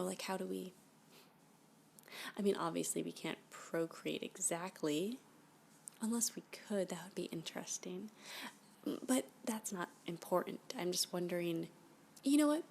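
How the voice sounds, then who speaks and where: A woman playing a flirty, clingy alien abductee in an ASMR roleplay, gushing and halting, often dropping to a whisper. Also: on the low side, gravelly, a little mellow. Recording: background noise.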